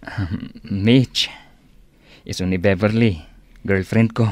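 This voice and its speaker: Earnest young shopkeeper boyfriend, radio drama: lowish voice, gentle, sincere. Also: male voice, steady tone, takes it slowly, no room sound. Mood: friendly. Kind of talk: Ilocano radio drama acting.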